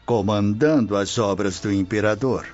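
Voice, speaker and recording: A man's voice: on the low side, moderate pace, a little mellow, soft background music, natural voice. An actor with a Brazilian accent playing a stern, conservative Roman grandfather in a radio drama. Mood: dismissive. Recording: dull, muffled studio track.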